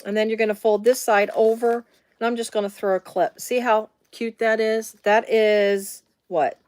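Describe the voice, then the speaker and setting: A woman's voice: on the high side, fairly even intonation, normal speed, darkish tone, clear, resonant tone, no echo. Chatty woman demonstrating sewing. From Midwestern US. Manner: chatty and rambling, drifting into side stories with many fillers. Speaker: sewing tutorial host. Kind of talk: casual live sewing demonstration.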